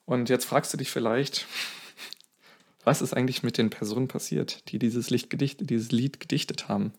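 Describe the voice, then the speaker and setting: Guy rambling through some history on a podcast, fixes his own words a lot, normal pitch. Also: steady tone, normal speed, darkish tone, husky. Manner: explains at length, self-correcting, thinking aloud. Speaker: podcast co-host. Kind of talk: casual history podcast chat.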